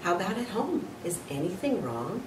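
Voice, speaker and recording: Woman reading as patient female teacher, concerned, gently probing, storybook dialogue, distant mic, background noise. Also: medium pitch, big pitch swings, moderate pace, lightly acted. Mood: stern, concerned. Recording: roomy, distant mic, noisy.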